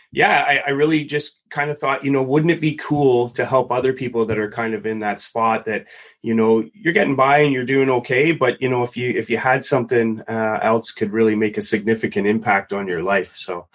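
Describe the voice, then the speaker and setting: A man's voice: medium pitch, a bit bright. Man answering with many ums. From Canada. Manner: hesitant, many ums and restarts. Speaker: interview guest, marketer. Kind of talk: conversational interview answers.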